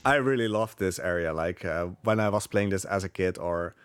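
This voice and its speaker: A man's voice: low voice, moderate pace, soft background music. A man giving casual live commentary on his own speedrun, rambling with hesitant asides as he plays. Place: live gaming marathon event, game audio behind.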